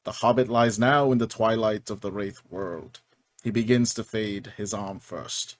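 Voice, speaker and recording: A man's voice: on the low side, steady tone, measured pace, lightly acted. A man playing a grave, stately, ageless elven king in a fantasy table read, formal and declamatory. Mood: solemn, grave.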